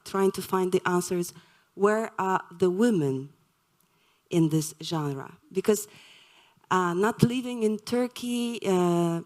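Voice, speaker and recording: A woman from Poland giving a live lecture, speaking slowly and hesitantly with many fillers. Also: mid-range voice, a little mellow. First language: Polish.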